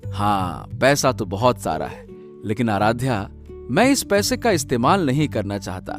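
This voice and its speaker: A man's voice: mid-range voice, very animated, unhurried, faint music behind. A man playing a humble, honest poor village husband in a Hindi cartoon story, speaking earnestly with hesitant interjections. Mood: earnest, regretful.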